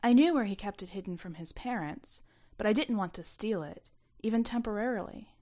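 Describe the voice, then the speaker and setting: A woman reading scripted first-person fiction aloud, expressively, with some hushed asides. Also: medium pitch, fairly quick. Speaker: story reader.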